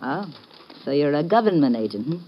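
Sassy no-nonsense showgirl, old radio drama, blunt, bouncy pitch, kinda muffled old recording. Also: big pitch swings, brisk. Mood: wary, casual. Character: brassy, no-nonsense female nightclub dancer. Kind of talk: 1940s-50s radio drama acting.

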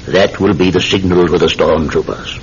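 An actor playing a sinister, controlled hypnotist posing as a polite tobacconist, with deliberate, theatrical delivery in an old-time radio drama. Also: male voice, low-pitched. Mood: commanding. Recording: muffled, hissy old radio recording.

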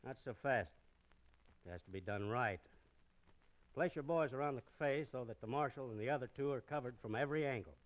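Radio western mastermind, male actor: mid-pitched, cold, commanding, calculating banker villain. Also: natural voice, rough recording. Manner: cold, bossy, deliberate. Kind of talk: old radio western acting.

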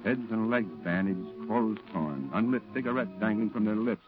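Casting: male actor as grave, compassionate war chaplain and narrator, lowish voice, measured 1940s radio delivery, narrow tinny sound. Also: animated, lightly acted. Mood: solemn. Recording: tinny band-limited old radio, music behind.

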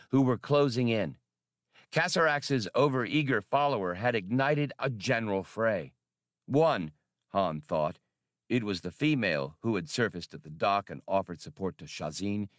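A man reads adventure fiction as a dramatic narrator. His pace is slow and deliberate, his mid-pitched voice moves expressively up and down, and the sound is dry. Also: dry recording. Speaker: audiobook narrator.

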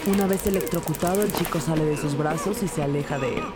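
An actress playing a determined police detective who narrates her investigation in a measured, suspenseful audio drama style. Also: low voice, lightly acted. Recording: loud music bed behind voice.

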